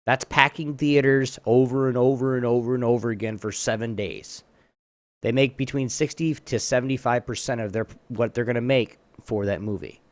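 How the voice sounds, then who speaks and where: A man's voice: mid-range voice, fairly even intonation, moderate pace, no echo. Rambling male game host, dry close sound. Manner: rambling, repeats and rephrases himself. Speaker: host of a trivia game on a live show. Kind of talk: casual chat, hosting a trivia round.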